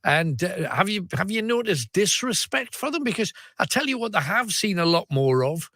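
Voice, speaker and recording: A man's voice: high voice, very animated, moderate pace, fairly bright tone, dry recording, clean studio sound. A man hosting a late-night radio phone-in, chatting casually with callers. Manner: chatty, reads out the phone number. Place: radio studio. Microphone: studio microphone.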